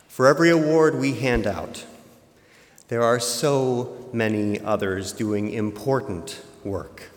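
A man chairing an alumni board, giving a slow, solemn ceremonial address. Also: medium pitch. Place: large auditorium. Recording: echoey auditorium with long reverb.

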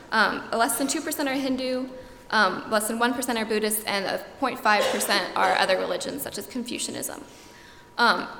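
A young woman, a student giving a slide presentation and filling her pauses with frequent ums. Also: on the high side, vocal fry, a bit bright. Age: young adult. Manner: frequent ums, earnest and informative. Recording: echoey hall, long reverb, faint room noise.

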